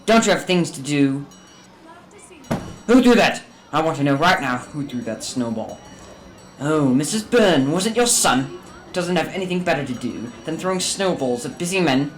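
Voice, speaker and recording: A man's voice: a little high, lively intonation, normal speed, faint music behind, natural voice. A man playing a crotchety, scolding old miser businessman in a radio drama. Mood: irritated, scolding. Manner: scolding, indignant, barking demands. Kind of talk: stage-like radio drama acting.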